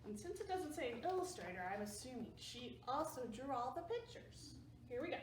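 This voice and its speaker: A woman as children's librarian, leading a storytime with a slow, singsong, coaxing manner. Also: high voice, very animated. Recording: roomy, distant mic, faint background hum.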